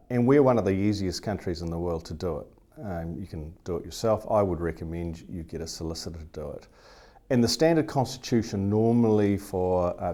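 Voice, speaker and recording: A man giving plain-spoken, explanatory business advice, feeling his way through phrases in a measured manner. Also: sits low, warm tone. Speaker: business law adviser. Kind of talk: informal explanatory advice talk.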